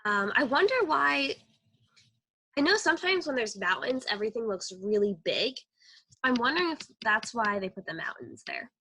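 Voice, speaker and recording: A young woman co-hosting a children's read-aloud on a video call, teaching with enthusiastic questions in an animated way. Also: fairly high pitch, moderate pace, husky, rough recording.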